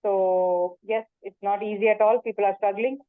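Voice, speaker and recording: Earnest yoga teacher on a tinny call. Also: female voice, mid-range voice, fairly even intonation, normal speed, no echo. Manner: earnest, many fillers and restarts. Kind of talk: webinar talk and Q&A. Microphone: video call. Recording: tinny phone-like call audio.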